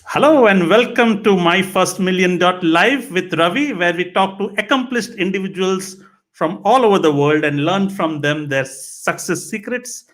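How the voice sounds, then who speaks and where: A man with a fairly high voice hosts a live interview podcast. He speaks haltingly, often going back over a phrase before moving on. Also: fairly bright tone. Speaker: podcast host.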